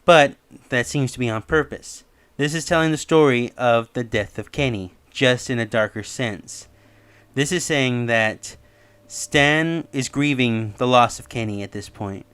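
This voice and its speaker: A man's voice: mid-range voice, measured pace, a bit bright, clean tone, no room sound. A man giving casual, rambling explanatory commentary as the host of a theory video. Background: music under the voice.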